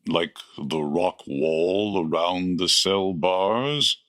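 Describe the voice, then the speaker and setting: A man's voice: sits low, extremely slow, a bit bright, exaggerated voice. A man playing a kindly, weary old Santa Claus in a comedic audio drama, with a hesitant, avuncular manner. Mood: helpful.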